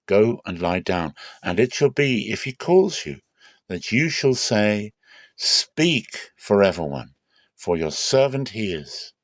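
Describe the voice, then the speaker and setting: Man acting a grave, gentle old priest. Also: lowish voice, big pitch swings, slow pace, dry recording. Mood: gentle, instructing. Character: gentle, grave old male priest, fatherly, dim-eyed.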